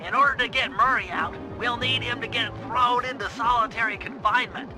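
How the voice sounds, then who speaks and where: An actor playing a nerdy, careful young turtle gadget genius in a video game, nasal and precise. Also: male voice, extremely high pitch, steady tone, brisk. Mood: earnest briefing. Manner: precise, earnest, bookish briefing. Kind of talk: animated video game cutscene acting. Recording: loud music under the voice. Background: game music.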